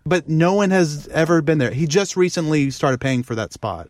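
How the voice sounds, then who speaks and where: Male podcast co-host, higher register, informal storyteller, profane, very dry sound. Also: expressive, moderate pace, a little mellow, dry recording. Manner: profane, uses "dude" often. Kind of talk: casual chat, storytelling.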